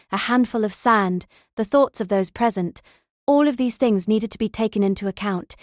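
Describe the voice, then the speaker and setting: A woman reading a novel as audiobook narrator, in a measured, literary storytelling manner. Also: medium pitch, darkish tone, no echo, clean studio sound.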